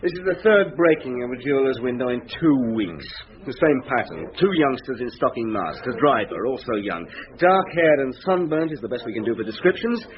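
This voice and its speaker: A man's voice: mid-range voice, animated, a little fast, close to an everyday voice. An actor playing a keen, chatty police inspector reporting to his chief, with a British accent, in a radio drama. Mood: earnest, reporting. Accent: British English. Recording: muffled radio drama recording.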